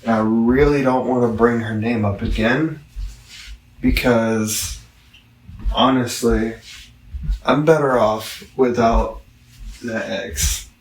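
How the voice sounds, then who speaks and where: A man giving a casual, rambling product review, halting and digressive, with long pauses. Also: mid-range voice, fairly even intonation. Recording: roomy echo, distant microphone.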